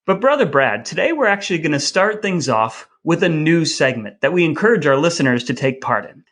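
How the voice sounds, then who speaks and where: A man's voice: a little high, sing-song, a little fast, fairly bright tone. An American man co-hosting a podcast in a wry, mock-formal, wordy way, mixing scripted banter with introductions. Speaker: podcast co-host.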